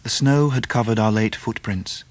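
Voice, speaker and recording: An actor playing a reflective English gentleman who narrates his own past in measured, literary style for a radio drama. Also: fairly low pitch, no room sound, lightly acted. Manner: measured, literary, well-spoken. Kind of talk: scripted first-person narration in radio drama.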